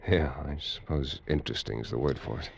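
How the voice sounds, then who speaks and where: An actor playing a tough, wry American lawman in a 1940s radio drama, narrating in terse, measured hardboiled style. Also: rumbling low, vocal fry, husky, exaggerated voice. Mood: wary, dry. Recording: dull, noisy vintage radio recording.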